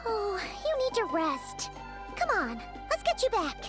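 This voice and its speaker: A woman voicing a tiny, bubbly female companion in a game, with a high, squeaky, childlike voice and a chirpy, fussing way of talking. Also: very animated, unhurried, heavily acted. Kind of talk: game voice acting. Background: loud game music.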